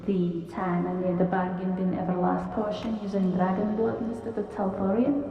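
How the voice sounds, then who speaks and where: A woman's voice: lowish voice, level tone, moderate pace, natural voice. A woman playing a teasing potion-brewing mentor. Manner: teasing, fussy, theatrical. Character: eccentric female potion brewer and magic mentor. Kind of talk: audio drama acting. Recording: roomy distant dull-toned sound.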